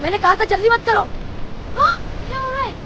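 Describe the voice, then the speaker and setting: An excitable young boy , played by a woman, speaks in a high, earnest voice. He is a cartoon adventurer who exclaims and frightens easily. The sound is slightly dull, with music behind him. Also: a little fast, slight background noise. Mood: alarmed. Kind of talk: children's moral cartoon story acting.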